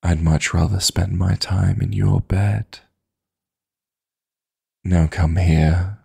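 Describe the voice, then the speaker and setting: A man playing a possessive, teasing dream demon lover in intimate sleep-aid roleplay audio, coaxing softly and deliberately in a gravelly, breathy voice. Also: deep voice, fairly even intonation, exaggerated voice. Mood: tender, flirty.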